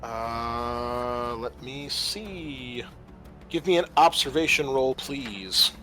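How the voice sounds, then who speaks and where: Bright-voiced man running a game. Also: medium pitch, lively intonation, extremely slow, faint music behind. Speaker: game master. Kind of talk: running a tabletop roleplaying game, casual chat.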